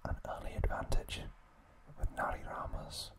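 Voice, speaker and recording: A man whispering a trivia recap as an ASMR host, in breathy, unvoiced speech broken into short, deliberate phrases.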